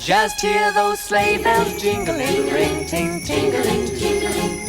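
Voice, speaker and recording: A woman croons a Christmas song slowly, her very high voice touched with gravel. Loud music swells around her. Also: huge pitch swings.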